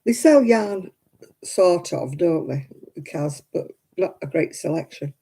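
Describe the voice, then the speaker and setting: A woman in her seventies hosting a crafting livestream, chatting homely and warmly with viewers by name and laughing often. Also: lowish voice, animated, takes it slowly, breathy edge. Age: 70s. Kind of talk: casual chat reading out viewer comments.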